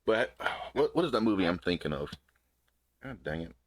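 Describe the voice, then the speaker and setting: Deep-voiced joking podcast host. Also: male voice, sing-song, normal speed, no echo, studio quality. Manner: joking, laughs easily. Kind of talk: casual podcast chat.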